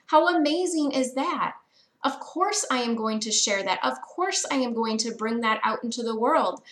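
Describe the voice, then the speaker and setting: A woman's voice: on the high side, fairly bright tone, reverberant. A woman in her thirties hosting a motivational solo podcast, speaking earnestly and encouragingly and repeating phrases for emphasis. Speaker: podcast host.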